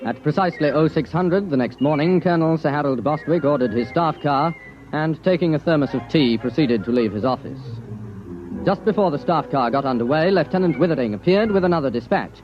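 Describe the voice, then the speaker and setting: An actor playing a solemn military narrator, reading formal scripted 1950s radio narration. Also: male voice, a little high, lightly acted. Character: solemn male documentary-style military narrator. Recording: muffled old radio, music behind.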